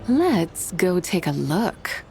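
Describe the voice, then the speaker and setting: Slow, imperious immortal spirit lady. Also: on the low side, big pitch swings, exaggerated voice. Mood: pensive. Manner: imperious, archaic, solemn. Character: proud, lofty ancient female adeptus spirit. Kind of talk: video game voice acting. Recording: music behind the voice.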